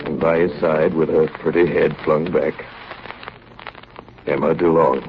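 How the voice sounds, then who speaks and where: An actor playing an elderly, plainspoken retired naval engineer, reminiscing in 1930s radio drama narration. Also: male voice, extremely low pitch, flat delivery, measured pace, music in the background. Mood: nostalgic. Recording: muffled, noisy 1930s radio recording.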